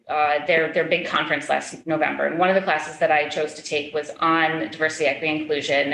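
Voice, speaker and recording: A woman's voice: deep voice, fairly bright tone, reverberant, slight background noise, rough recording. A middle-aged woman chairing a school committee forum, answering questions earnestly with many fillers and restarts. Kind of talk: public meeting, answering questions.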